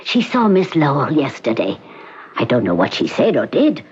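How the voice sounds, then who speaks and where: An actress playing a doctor, speaking in a formal, measured, clipped way. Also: female voice, extremely low pitch, huge pitch swings, darkish tone, breathy edge, muffled recording, heavily acted.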